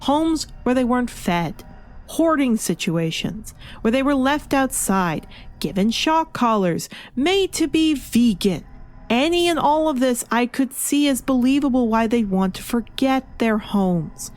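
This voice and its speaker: A woman's voice: a little high, lively intonation, a little slow, a little mellow, faint music behind. A woman reviewer delivering scripted video essay commentary in an opinionated, argumentative way. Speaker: comic book reviewer.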